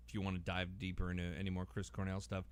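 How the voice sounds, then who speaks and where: A man's voice: low voice, monotone, fast, clear, resonant tone. A man chatting casually as a podcast guest, talkative and given to joking.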